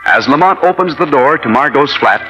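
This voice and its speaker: An actor playing a dramatic announcer narrating a 1950s radio crime serial. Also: male voice, on the high side, animated, a little fast, highs cut off, over loud music, natural voice.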